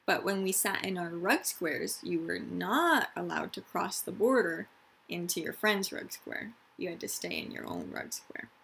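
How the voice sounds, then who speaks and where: A woman's voice: fairly low pitch, big pitch swings, unhurried, vocal fry, a bit bright, husky. A woman, a teacher of young children reading aloud and explaining things simply, stressing key words. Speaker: elementary school teacher.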